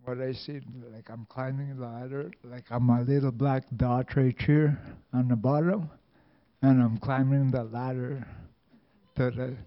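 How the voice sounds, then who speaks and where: Slow-speaking man, hesitant and searching for words; lo-fi recording. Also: medium pitch, level tone. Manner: hesitant, many restarts and "like". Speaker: church member sharing. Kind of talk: halting personal testimony.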